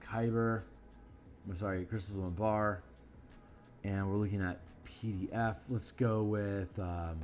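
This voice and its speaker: A middle-aged man with a deep, tired voice, rambling casually with fillers while he streams his illustration work. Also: steady tone, a little slow, a little mellow, faint music behind. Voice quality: deep, low voice. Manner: rambling, with frequent fillers and restarts. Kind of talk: casual live-stream talk while working.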